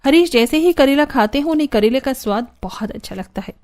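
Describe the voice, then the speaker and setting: A woman's voice: sits high, animated, brisk, darkish tone. A woman narrating a scripted cartoon family story.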